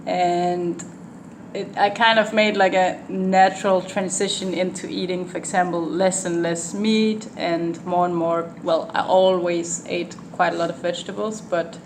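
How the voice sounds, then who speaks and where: A woman's voice: fairly low pitch, little pitch movement, a little slow, slight background noise. Danish woman, twenties, thoughtful and hesitant. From Denmark. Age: 20s. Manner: thoughtful, hesitant, many ums and restarts. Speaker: interviewee, exchange student. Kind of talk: casual interview conversation.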